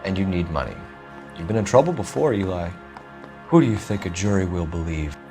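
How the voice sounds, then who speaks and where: An actor playing a composed American 1940s police detective in a video game, asking questions in a clipped, formal way. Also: male voice, sits low, lively intonation, brisk, darkish tone, soft background music. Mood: accusing. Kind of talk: cinematic video game acting.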